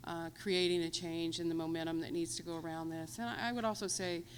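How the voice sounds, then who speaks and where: A woman panelist answering a question in a halting way with many restarts. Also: fairly low pitch, steady tone, slight background noise. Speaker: hospital panelist.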